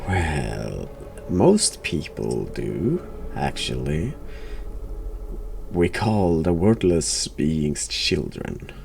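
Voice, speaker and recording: A man streaming a horror game, giving casual reaction commentary in a halting, dry deadpan way. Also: very deep voice, gravelly, slight background noise.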